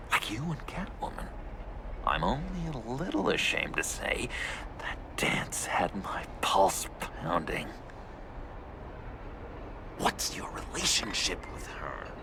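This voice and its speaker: Unhinged lovestruck game villain, slow n creaky, breathy rambling w sudden outbursts. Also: male voice, fairly low pitch, huge pitch swings, background noise, full character voice. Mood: smitten, torn. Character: lovesick, unstable, eccentric young male criminal. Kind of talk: video game voice acting.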